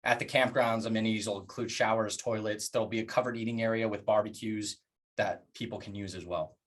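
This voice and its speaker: A man presenting plans in a public address, speaking earnestly in deliberate phrases with emphatic pauses. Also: on the low side, fairly even intonation. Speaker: organization leader presenting plans.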